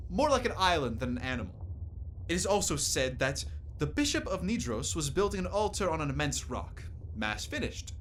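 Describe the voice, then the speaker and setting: An actor in a radio play playing a learned, courteous professor with an earnest, formal way of speaking. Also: male voice, a little high, fairly bright tone, a little hiss or hum. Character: learned, curious, courteous male naturalist professor.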